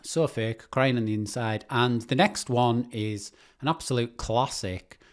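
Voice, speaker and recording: A man's voice: medium pitch, a little slow. A British man giving casual, self-mocking reaction commentary as a YouTuber.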